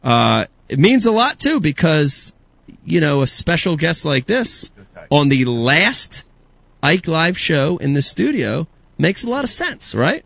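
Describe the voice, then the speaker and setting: A man hosting a casual podcast, playful and hyped, with bits of announcer-style shouting. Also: fairly high pitch, big pitch swings, measured pace, creaky. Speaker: host. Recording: dull dry lo-fi sound.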